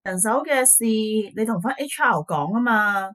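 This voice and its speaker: Casting: weary Hong Kong Cantonese female manager, mid-pitched, brushing staff off, radio play. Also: animated, unhurried, fairly bright tone. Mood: brusque, placating. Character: dismissive, weary female office boss. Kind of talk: radio drama acting.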